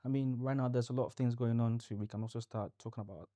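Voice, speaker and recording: A man's voice: medium pitch, fairly even intonation, fast talker, dark tone, no room sound. A young man from Ghana with a Ghanaian accent, co-hosting a podcast in casual conversation and often repeating short phrases. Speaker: podcast co-host.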